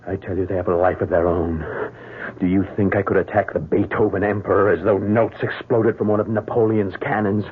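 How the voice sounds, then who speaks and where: Haunted young male musician: low husky voice, overwrought radio-drama delivery, thin vintage broadcast sound. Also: level tone, fairly quick. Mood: anguished. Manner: stammering, overwrought, melodramatic. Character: tormented young male concert pianist, anxious and haunted. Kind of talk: old-time radio drama acting. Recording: muffled lo-fi old radio broadcast.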